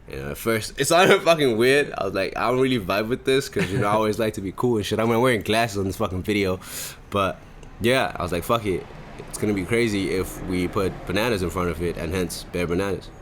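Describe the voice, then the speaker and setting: A man's voice: low-pitched, quick pace, hardly any pauses, fairly bright tone. A man, hosting a podcast in a casual, rambling, slangy way. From Zimbabwe. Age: 20s. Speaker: podcast host. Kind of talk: casual chat.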